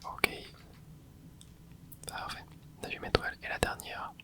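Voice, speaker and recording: A hushed, breathy whisper talks through a video game at an easy pace, tossing in little fillers along the way. The voice stays soft and unvoiced, sitting right up against the microphone. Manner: soft whisper, relaxed running commentary with quick fillers. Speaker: gaming ASMR host. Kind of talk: whispered gameplay commentary. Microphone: close microphone.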